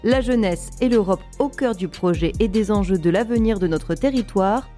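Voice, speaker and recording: A woman reading a scripted radio introduction in an even, measured way. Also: medium pitch, faint music behind. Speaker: radio narrator. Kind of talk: scripted narration.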